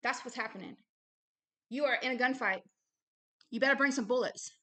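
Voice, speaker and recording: A woman who hosts a ministry speaks in a fairly high, sharply bright voice. She delivers religious testimony and exhortation bluntly and emphatically, often repeating a phrase to press the point. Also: normal speed. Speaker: ministry founder and host. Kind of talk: impassioned religious testimony, exhortation and commentary on a book read aloud.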